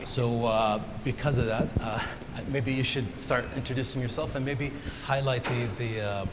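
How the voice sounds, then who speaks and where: A man moderating a conference panel, introducing it in a halting way with restarts. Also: mid-range voice, steady tone. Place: large conference hall. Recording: reverberant hall with clear background noise.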